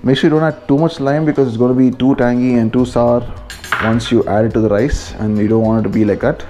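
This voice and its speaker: A man's voice: medium pitch, fairly even intonation, fast, warm tone, soft background music. A man with an Indian accent giving a casual, informal step-by-step cooking narration as a home vlogger in a kitchen.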